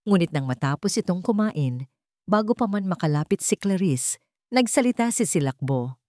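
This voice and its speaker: A woman narrating a scripted romance story in a measured, sentimental, dramatic way. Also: lowish voice, lively intonation, darkish tone, dry recording, clean studio sound.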